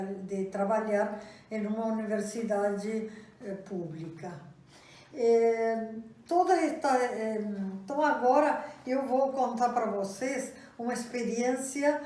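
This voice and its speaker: Older argentine lady professor, lots of ehs, kinda high voice, far from mic. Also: darkish tone. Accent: Argentine Spanish. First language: Spanish. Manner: hesitant, many fillers and restarts. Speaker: guest speaker, university professor. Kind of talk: event talk. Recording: echoey room, distant mic.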